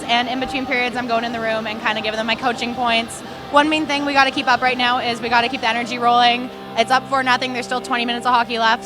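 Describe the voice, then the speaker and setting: A young woman, an athlete, answering in a casual sports interview. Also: on the high side. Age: young adult. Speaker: hockey player being interviewed.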